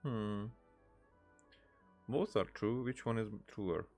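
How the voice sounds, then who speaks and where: A man giving casual, halting gameplay commentary, muttering asides and reading dialogue options aloud. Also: on the low side, a little mellow, faint music behind. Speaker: gaming streamer.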